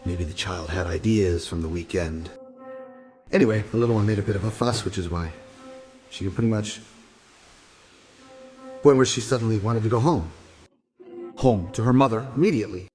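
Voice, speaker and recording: A man playing a separated father in a crime audio drama, explaining himself in halting, clipped, self-justifying phrases. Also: on the low side, a little mellow, soft background music, close to an everyday voice. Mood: defensive, uneasy. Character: separated father giving a defensive, halting account to police.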